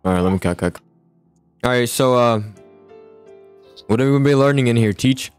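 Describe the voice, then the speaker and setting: A young man improvising a casual game roleplay as a student, slangy and excitable, often stammering and repeating himself. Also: medium pitch, moderate pace, smooth, faint music behind. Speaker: roleplay video host playing a student.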